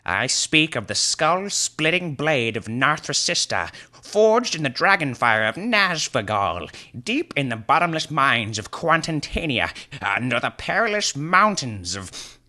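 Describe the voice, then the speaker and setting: A man's voice: on the high side, very animated, a little slow, pushed and bright, voice pushed up, full character voice. A man voicing a pompous, grandiose old bearded wizard who declaims in mock-archaic speech for a comic fantasy story. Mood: menacing, grandiose.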